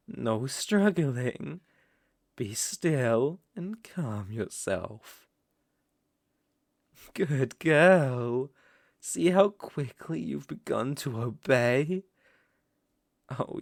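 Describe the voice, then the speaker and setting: Guy doing a british vampire lord, slow n seductive, teasing, bossy, asmr roleplay, super dry close sound. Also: medium pitch, expressive, creaky, no room sound, heavily acted. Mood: teasing, commanding. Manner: slow, teasing, commanding, with theatrical old-fashioned phrasing. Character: suave, seductive, possessive male vampire count. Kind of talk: intimate ASMR roleplay acting.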